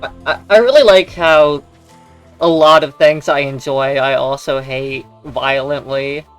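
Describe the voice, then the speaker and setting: A man's voice: medium pitch, unhurried, clear, resonant tone, faint music behind, rough recording. A young man in casual, joking chat with a friend as a let's play co-host, giggly and sweary.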